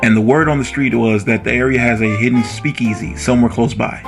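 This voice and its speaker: A man's voice: mid-range voice, steady tone, a little fast, vocal fry, faint music behind, lightly acted. A man playing an eager, awkward young rookie policeman in a radio drama, polite and nervously formal. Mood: earnest, explaining.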